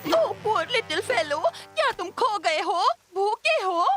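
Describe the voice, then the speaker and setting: A woman voicing a doting, kindly lady in a cartoon dub, cooing in drawn-out phrases. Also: very high voice, sing-song, sharp tone, faint music behind, exaggerated voice. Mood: cooing pity. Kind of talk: animated cartoon dub acting.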